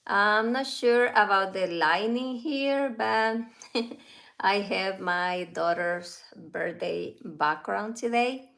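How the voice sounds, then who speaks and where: A woman and mother whose first language is Spanish, hosting a casual live stream at home, talking slowly with many fillers and small laughs. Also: medium pitch, creaky, a bit bright. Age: adult, a mother. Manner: slow, halting, with frequent fillers and light laughs.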